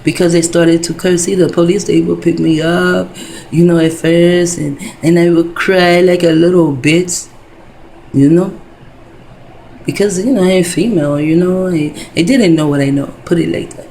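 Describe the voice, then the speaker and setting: A woman's voice: fairly low pitch, fairly even intonation, normal speed, mellow, a little hiss or hum, low-quality recording. A woman in her fifties talking in a rambling casual monologue, wandering between thoughts, full of fillers and profanity. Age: 50s.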